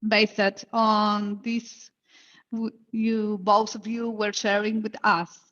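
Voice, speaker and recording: Slow-spoken woman interviewer, dull audio. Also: mid-range voice, level tone, fairly bright tone. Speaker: interviewer host. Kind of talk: interview questions.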